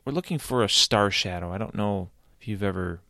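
A man's voice: on the low side, a little fast, creaky, bright, forceful tone, dry recording, studio quality. A man voicing a cautious, polite young man exploring underground.

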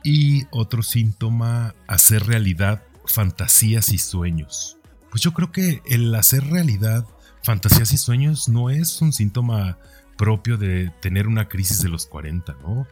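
Deep-voiced man, reflective podcaster. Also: animated, measured pace, mellow, soft background music. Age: 40s. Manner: conversational, introspective, often ending thoughts with a questioning tag. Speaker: podcast host. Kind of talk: reflective personal podcast monologue.

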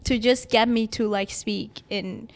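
A woman in her twenties with a mixed Persian and Greek accent, telling her story as a guest in a casual interview, animated and quick to laugh. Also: a little high. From Iran. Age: 20s. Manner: animated storyteller who acts out others' words; laughs often.

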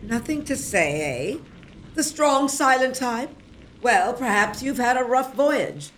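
A woman's voice: on the high side, expressive, slow pace, a little hiss or hum, low-quality recording. A woman playing a hearty, cantankerous old aunt who teases and exclaims in nautical talk, in a gothic comedy audio drama. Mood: delighted, fussing.

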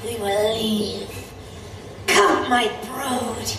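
A woman playing a menacing female siren, leader of a brood, in an audio drama, speaking slowly and gravely. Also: a little high, steady tone. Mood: cold menace. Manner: slow, deliberate, grave. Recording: roomy, distant, noisy ambience.

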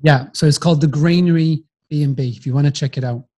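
A man's voice: on the high side, steady tone, fast talker, dark tone. A man in his forties with a Yorkshire accent, a podcast guest telling his story in a friendly, chatty way, often restarting and repeating words. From England, Yorkshire. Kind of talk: casual storytelling interview.

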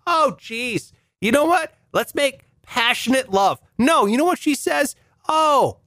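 A young man with a reedy, nasal voice, telling comic stories as a show host in an animated, mock-outraged way. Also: sits high, no echo. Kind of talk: comic monologue.

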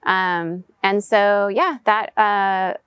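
A woman's voice: medium pitch, fairly even intonation, very slow, clean tone, no echo, muffled recording. A woman in her forties who was raised in Venezuela, answering reflectively as an interview guest in a casual conversation. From raised in Venezuela, US-born. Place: outdoors, in person.

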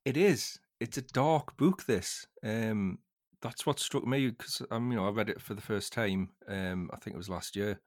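Male podcast host, lowish voice, hesitant and thoughtful, casual book chat. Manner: hesitant, thoughtful, halting phrasing.

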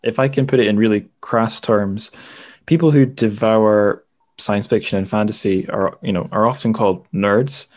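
A man's voice: lowish voice, fairly even intonation, moderate pace, a little mellow. A man chatting casually and playfully as the host of a podcast. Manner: playful, informal. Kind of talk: casual chat.

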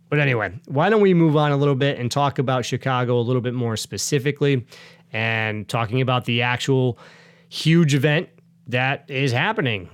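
A man's voice: medium pitch, no echo. A middle-aged man hosting a casual solo podcast, chatty and rambling with self-corrections, a bit sniffly from a cold. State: getting over a cold, sniffly. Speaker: podcast host.

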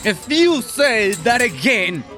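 High-pitched stern guard. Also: male voice, very animated, slow, sharp tone, faint music behind, heavily acted. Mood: barking orders. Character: Steve, English-shouting American detention guard, stern. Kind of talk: radio theatre acting.

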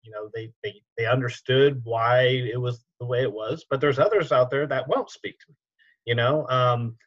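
A man's voice: medium pitch, moderate pace, dull audio. A man hosting a podcast interview, talking easygoingly with many fillers and restarts. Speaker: podcast host, military veteran. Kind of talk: casual interview chat.

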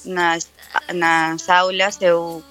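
A young woman guest, speaking Portuguese slowly and carefully. Her voice is bright and clear, and she stops often to restart words. Faint music plays behind her. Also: on the low side, little pitch movement. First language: Spanish. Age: young adult. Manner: hesitant, halting, often restarting words and laughing. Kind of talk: live interview.

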